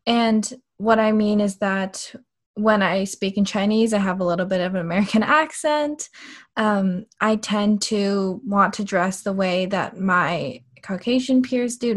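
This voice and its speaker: A young woman co-hosting a podcast, speaking in a thoughtful, measured way with pauses and "um". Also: mid-range voice. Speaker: podcast co-host. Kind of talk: casual chat.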